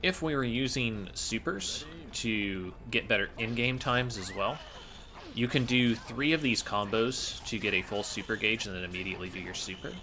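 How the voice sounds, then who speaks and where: A man's voice: fairly low pitch, moderate pace, sharp tone. A man giving explanatory commentary as he plays through a video game speedrun.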